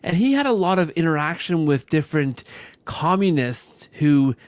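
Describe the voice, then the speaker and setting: Higher-voiced man hosting, close dry sound. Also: expressive, normal speed, darkish tone, no echo. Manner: rambling, restating questions in piled-up phrases, seeking confirmation. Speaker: podcast host. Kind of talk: conversational interviewing.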